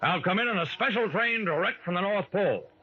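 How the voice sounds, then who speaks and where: Pompous, high-pitched railroad executive. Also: male voice, lively intonation, a little fast, muffled recording. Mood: self-important. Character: self-important railroad vice president dressed as Santa. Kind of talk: 1940s-50s radio acting. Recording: band-limited old radio recording, faint noise.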